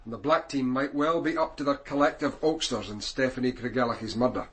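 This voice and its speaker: A man playing a senior police detective in a radio crime drama, Scottish, with a measured, dry, authoritative manner. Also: mid-range voice, fairly bright tone, natural voice. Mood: brisk, dry.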